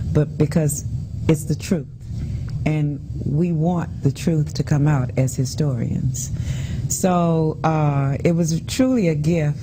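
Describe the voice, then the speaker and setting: A woman with a notably deep voice speaks earnestly as a panel guest. She words things formally and deliberately, leaning on key phrases. Clear room noise sits behind her. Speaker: panel guest, historian and community advocate. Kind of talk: earnest interview talk. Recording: noisy room with background ambience.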